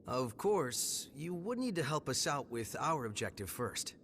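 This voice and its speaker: A man's voice: mid-range voice, big pitch swings, unhurried, a bit bright, slight background noise. An actor playing a friendly, easygoing young male fighter in a video game, with a casual, teasing way of talking. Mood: relaxed, curious. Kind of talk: English video game dub acting.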